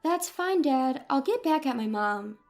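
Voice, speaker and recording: A defiant young bride, voiced by a woman narrator, high-pitched and resolute. She speaks plainly and with determination, in audio-story style over faint music. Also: lively intonation, measured pace, a little mellow.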